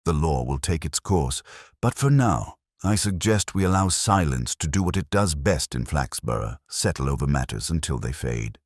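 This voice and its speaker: A calm, courteous inspector in a spoken mystery, as a male narrator gives him voice. He speaks in a measured, quietly probing way, his tone very deep but rising and falling freely. The sound is dry and close. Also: no room sound, exaggerated voice.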